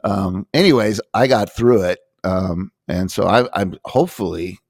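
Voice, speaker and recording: Animated lower-voiced man, dry close sound. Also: measured pace, dry recording. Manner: animated, laughs often and acts out quoted dialogue. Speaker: author and guest. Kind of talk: casual conversation.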